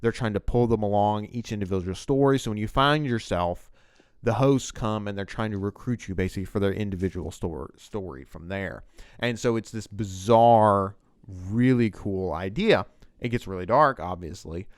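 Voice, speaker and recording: A man's voice: lowish voice, normal speed, clean tone, no room sound, clean studio sound. A man hosting a video essay, explaining things in a loose, conversational and emphatic way. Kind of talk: casual, unscripted explanatory commentary on TV writing.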